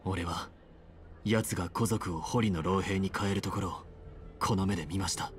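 Very deep, grave young-warrior scout, acted by a man. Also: steady tone, normal speed, close to an everyday voice. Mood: grave urgency. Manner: terse, serious, restrained. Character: grave, dutiful male scout reporting to his general. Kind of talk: Japanese anime game voice acting. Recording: music behind.

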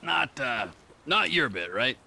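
Casting: unhinged, mocking middle-aged thug for a game, swinging pitch, hard bright edge. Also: male voice, sing-song, slow pace, gravelly, slight background noise, exaggerated voice. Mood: dry, sneering. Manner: aggressive, mocking, profane. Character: volatile, sneering, psychotic middle-aged male criminal. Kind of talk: video game cutscene acting.

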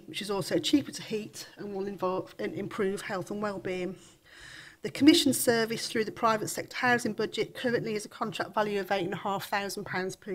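A woman with a cold presenting a housing report to a council committee in a large formal chamber, coughing now and then, hesitant with many ums and restarts. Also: mid-range voice.

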